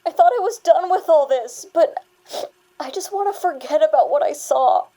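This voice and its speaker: High-voiced, uneasy young woman, acted by a woman. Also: animated, moderate pace, warm tone. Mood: anxious, weary. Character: shaken, self-doubting young woman, wry among friends. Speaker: actor in audio drama. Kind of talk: naturalistic scripted audio drama.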